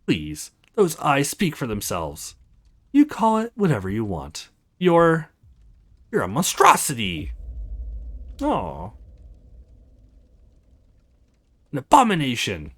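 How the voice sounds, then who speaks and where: Enraged, suspicious mother in a fantasy game, venomous and accusing, sharply swinging pitch, acted by a woman. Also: huge pitch swings, takes it slowly, long pauses, fairly bright tone, husky, full character voice. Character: furious hostile mother, suspicious witch-hater.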